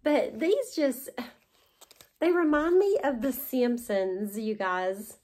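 Woman, 40s, Southern accent, haul vlogger; slightly high voice, unhurried, chatty and delighted, close camera in a living room. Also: warm tone. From West Virginia. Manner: gushing, chatty, laughs often. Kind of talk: casual show-and-tell haul. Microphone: camera in front at close range.

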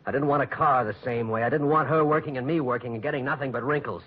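Casting: man playing cynical young American convict, brusque and sardonic, rough husky voice, muffled vintage radio drama. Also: mid-range voice, quick pace, lightly acted. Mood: bitter. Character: bitter, defiant young jailed man, cynical. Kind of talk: 1940s radio acting. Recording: muffled old radio recording.

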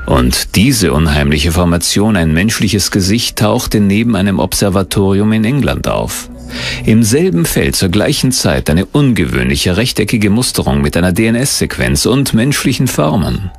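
A man's voice: sits low, very animated, moderate pace, soft background music. A man reading scripted narration for a documentary.

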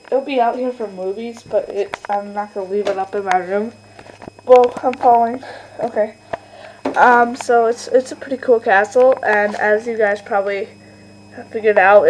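A young woman giving a casual home video tour, halting and full of fillers, filmed on a handheld camera in her bedroom. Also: fairly high pitch, noisy background. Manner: chatty, filler-heavy, halting. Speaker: channel owner giving a toy stable tour. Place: bedroom with toy horse stables.

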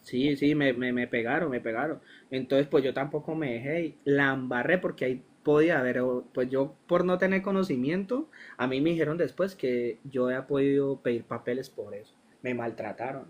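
A young Colombian man with a Colombian accent, a guest in a casual interview, telling his story in an animated, slangy way that acts out the dialogue. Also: mid-range voice, fairly quick, few pauses.

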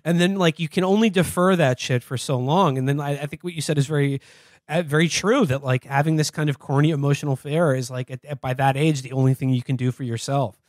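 A man's voice: fairly high pitch, fairly even intonation, fast talker, dry recording, studio quality. A man co-hosting a podcast, talking politics casually in a wry, discursive way. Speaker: podcast co-host. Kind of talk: casual comedic political chat.

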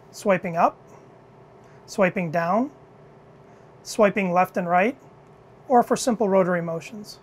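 A middle-aged man leading product innovation, presenting a car cockpit demo in a measured, clear, scripted way in an indoor demo room. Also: high-pitched, slight background noise. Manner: measured, clear explanatory delivery.

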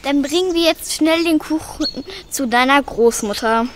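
A woman's voice: high voice, normal speed, a little hiss or hum, lightly acted. Woman playing pompous know-it-all Professor Flint. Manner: lecturing, self-important. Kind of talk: children's radio play acting.

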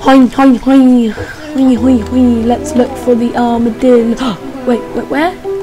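A young woman giving casual, excitable commentary while playing a game, squealing and making playful sing-song noises. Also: a little high, takes it slowly, over music. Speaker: gamer. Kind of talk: casual let's play commentary.

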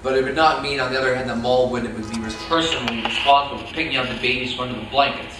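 A man's voice: medium pitch, steady tone, racing, over music, lightly acted. Man reading as evasive commandant in echoey room. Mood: matter-of-fact, explaining. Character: evasive, matter-of-fact Nazi camp commandant under interrogation. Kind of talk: staged reading. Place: meeting room, staged reading at a table. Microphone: far camera microphone. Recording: very echoey room, far microphone, hiss.

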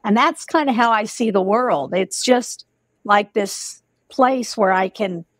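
A woman's voice: medium pitch, takes it slowly, no room sound. A woman in her sixties hosting a casual interview over a video call from home, musing reflectively with many "you know"s. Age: 60s. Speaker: host. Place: home room. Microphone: video call webcam.

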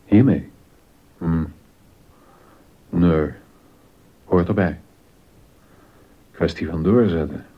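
A troubled court composer, played by a male actor in a historical radio drama. His voice is low and husky, and the sound is slightly dull. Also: very slow, pauses often, exaggerated voice. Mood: pensive. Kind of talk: scripted radio drama dialogue.

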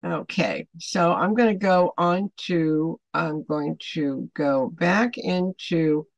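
A woman in her seventies teaching a Bible devotional to camera at home, earnest and deliberate, putting emphatic questions to the listener. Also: low-pitched, no room sound, highs cut off. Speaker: online Bible study host. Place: small home room, indoors.

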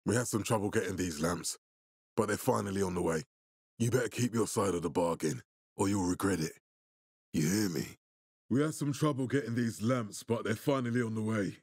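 An actor playing a stern, middle-aged military leader in a post-apocalyptic video game, speaking with formal, deliberate authority in a husky, gravelly voice. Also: male voice, lowish voice, darkish tone, no echo, clean studio sound. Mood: threatening. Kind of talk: video game character dialogue.

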